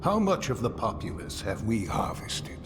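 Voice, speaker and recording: A man playing a cold, imperious villain lord in a video game, speaking formally and with menace in a gravelly voice. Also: mid-range voice, sing-song, normal speed, natural voice. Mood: cold, commanding. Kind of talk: animated video game voice acting. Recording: loud music behind the voice.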